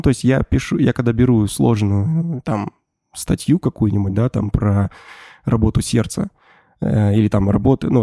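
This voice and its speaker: Gravelly low-toned man answering casually. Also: mellow, dry recording, clean studio sound. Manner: rambling, many fillers and restarts. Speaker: animation channel creator and voice actor.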